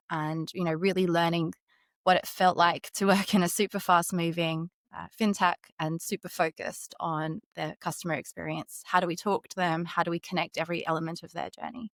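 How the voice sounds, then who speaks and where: A woman's voice: on the low side, level tone, moderate pace, a bit bright, dry recording, clean studio sound. A woman with an Australian accent, answering interview questions about her career in an articulate, upbeat way. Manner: articulate, upbeat, laughs lightly while telling stories. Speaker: fintech executive guest.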